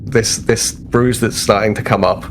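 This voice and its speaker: A man's voice: on the low side, fairly even intonation, measured pace, a little hiss or hum, close to an everyday voice. A man playing a cocky, sporty starship crewman in a tabletop game, talking in a boastful, informal way. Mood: reluctant.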